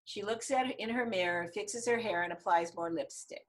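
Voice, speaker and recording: A woman's voice: medium pitch, normal speed. A woman narrating stage directions from a script at a table reading.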